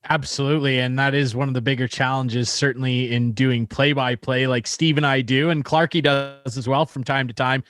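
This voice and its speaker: A man's voice: medium pitch, steady tone, normal speed, hardly any pauses, fairly bright tone. A man chatting casually as the host of a sports interview show.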